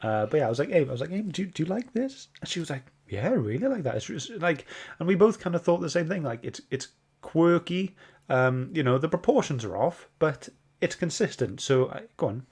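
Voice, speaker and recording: A man hosting a casual live-stream chat, speaking in a halting, hesitant way. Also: on the high side, big pitch swings, darkish tone, slightly rough. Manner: hesitant, halting when summarizing. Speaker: host of a comic book club show.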